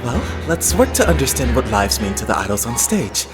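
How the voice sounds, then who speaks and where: A man voicing a composed, teasing young male idol mentor in an anime game fan dub, calm and polite. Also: mid-range voice, normal speed, music in the background, close to an everyday voice. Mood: thoughtful.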